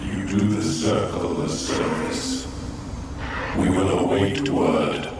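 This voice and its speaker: Echoing, very slow mushroom sovereign. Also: male voice, low-pitched, fairly even intonation, heavy background noise. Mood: commanding. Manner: slow, stately, speaking in short cryptic phrases. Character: solemn ancient mushroom-creature sovereign, grieving and vengeful. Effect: reverberant otherworldly echo. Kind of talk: scripted fantasy game acting. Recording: heavy echo, distant, loud music.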